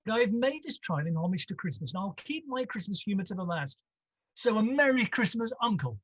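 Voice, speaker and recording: A woman playing a warm-hearted, cheerful young gentleman nephew, earnest and good-natured, in a radio-style drama on a dull video call. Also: mid-range voice, big pitch swings, fairly quick, slightly rough, no echo. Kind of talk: vintage radio drama acting.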